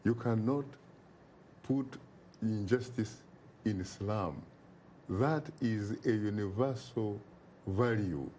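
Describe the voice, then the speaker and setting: Low, mellow man, oratorical. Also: slow pace, pauses often, slight background noise. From Uganda. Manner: emphatic and oratorical, repeats phrases for effect. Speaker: interview guest. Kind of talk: impassioned interview talk.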